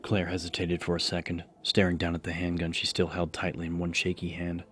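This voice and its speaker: A man's voice: deep voice, completely flat delivery, brisk, a bit bright. A man reading scripted horror fiction as a dramatic audiobook narrator. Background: background music.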